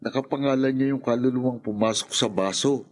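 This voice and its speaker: A man's voice: medium pitch, brisk, darkish tone, natural voice. An actor playing a nervous young man who hides his guilt and talks in a defensive, stammering way. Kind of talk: radio drama acting.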